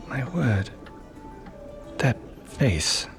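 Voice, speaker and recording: A man's voice: mid-range voice, creaky, a bit bright, full character voice. An actor playing an earnest, easily impressed male sidekick in a radio play. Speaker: narrator and assistant.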